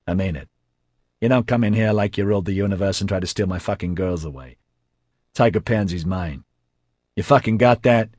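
A man's voice: sits low, fairly quick, a little mellow, no echo. A man voicing a foul-mouthed, bullying studio boss in a narrated novel, aggressive and mocking. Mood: angry.

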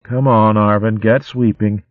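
A man's voice: lowish voice, steady tone, unhurried, dry recording, narrow, tinny audio, voice pushed up, lightly acted. A man voicing an eager young female hedgehog in a storybook reading. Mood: lively.

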